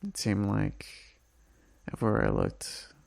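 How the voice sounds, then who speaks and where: A man playing a homesick, tender boyfriend in an intimate ASMR roleplay voicemail, speaking softly and breathily with halting pauses and sighs. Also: on the low side, very animated, creaky, no room sound, exaggerated voice. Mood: weary, wry.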